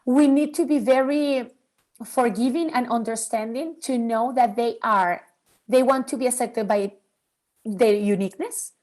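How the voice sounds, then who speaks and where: Earnest Venezuelan-born woman, fairly high voice. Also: a little slow. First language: Spanish. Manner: earnest, animated, acts out her children's voices. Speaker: podcast guest, bilingual parenting expert. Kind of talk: interview conversation.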